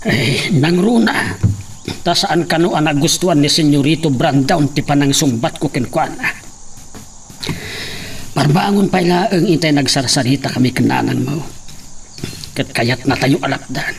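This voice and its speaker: A man acting as an earnest, resolute father and husband in a radio drama, speaking gravely and with measured delivery. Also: on the high side, a little hiss or hum. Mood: calm, serious. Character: earnest father and husband planning a village resistance.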